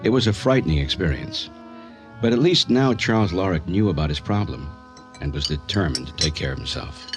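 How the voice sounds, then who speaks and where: A very deep-voiced psychiatrist, over music. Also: male voice, animated, a little fast. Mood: grave, measured. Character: sober male psychiatrist recounting a patient's case. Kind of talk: scripted radio drama narration.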